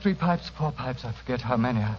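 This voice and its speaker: An actor playing a befuddled, querulous opium addict in an old-time radio drama. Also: male voice, mid-range voice, expressive, brisk, soft background music, natural voice. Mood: dazed, confused. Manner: dazed, confused, querulous. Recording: dull old radio recording, faint noise.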